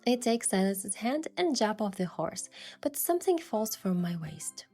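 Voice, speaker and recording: An actress playing a playful, affectionate young heroine who softly narrates her own love story in a romance game. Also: mid-range voice, big pitch swings, a little slow, soft background music. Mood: soft narrating.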